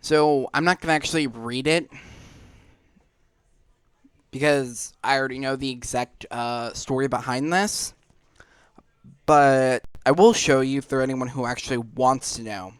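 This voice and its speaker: A man hosting a gaming video, explaining things informally in a halting, casual way as he plays. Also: mid-range voice, fairly even intonation, clean tone, no room sound. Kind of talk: casual gameplay commentary. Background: faint game music.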